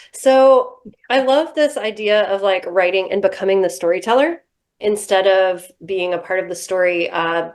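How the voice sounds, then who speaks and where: A woman co-hosting a podcast, asking thoughtful, reflective questions. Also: on the low side. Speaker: podcast co-host. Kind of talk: interview questions.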